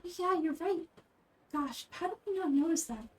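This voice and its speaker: A woman's voice: extremely high pitch, expressive, moderate pace, darkish tone, far from the mic. A woman voicing a small, chatty female fairy companion from a game, blunt and exclamatory. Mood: surprised. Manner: speaks of herself in third person, exclamatory.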